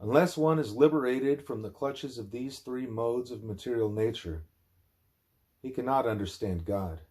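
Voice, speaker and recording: A man narrating a spiritual text aloud in deliberate, emphatic phrases. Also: medium pitch, darkish tone. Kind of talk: scripted narration, broken by asides to a small child.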